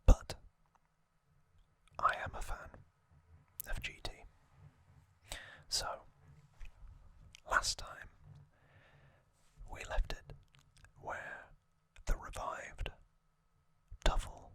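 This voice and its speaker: An ASMR host of unclear sex whispering an explanatory recap in short, halting phrases, fully hushed.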